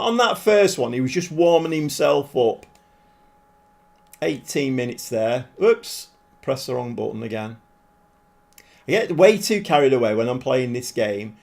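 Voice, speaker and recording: A man live-streaming a football management game, chatting casually with viewers, self-deprecating and quick to get excited. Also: mid-range voice, vocal fry.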